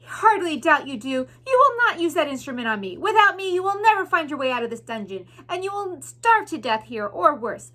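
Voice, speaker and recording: Storybook villain rat, male, cruel and scheming, haughty commanding delivery, sharp tone performed by a woman. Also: high voice, big pitch swings, fairly quick, few pauses, pitched above the performer's own voice. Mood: cold, threatening. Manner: pompous, sneering, commanding.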